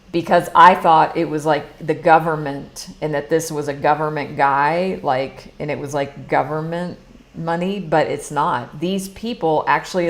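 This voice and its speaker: A middle-aged woman from the United States talks in a casual, confessional way about her own habits. Her voice sits low, and she often restarts a sentence mid-thought. She sounds like a coach chatting live. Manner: chatty, rambling, many restarts; earnest, confessional. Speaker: money mindset coach. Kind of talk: casual live talk, personal story.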